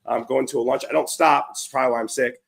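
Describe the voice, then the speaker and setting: Hoarse, chatty man hosting a stream. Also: mid-range voice, steady tone, fast. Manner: upbeat, chatty, many fillers and restarts. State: hoarse from a cold. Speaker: livestream show host. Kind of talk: casual live-stream chat.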